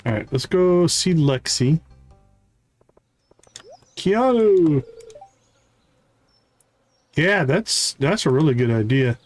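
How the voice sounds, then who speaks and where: A man's voice: fairly high pitch, expressive, slow pace, pauses often, soft background music. A man live streaming a video game, giving casual commentary as he thinks aloud and chats to viewers.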